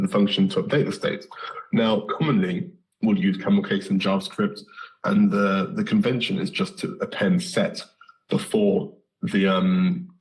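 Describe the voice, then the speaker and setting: A British man with a hoarse, slightly nasal voice from a recent flu, giving a live coding lesson with many ums and self-corrections. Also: low voice, low-quality recording. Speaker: coding instructor.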